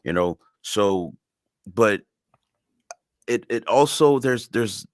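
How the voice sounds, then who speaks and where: A man with a deep, low voice talks in English, though French is his first language. He speaks in an earnest, emphatic way, like an artist opening up in an interview. The sound is dry and close, with little room to it. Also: lively intonation, slow pace, dry recording. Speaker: music artist guest. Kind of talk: casual interview conversation.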